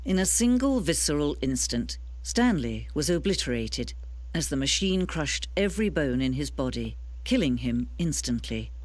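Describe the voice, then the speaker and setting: A woman voicing a calm, knowing female narrator in a video game, speaking slowly and softly. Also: low voice, a little hiss or hum.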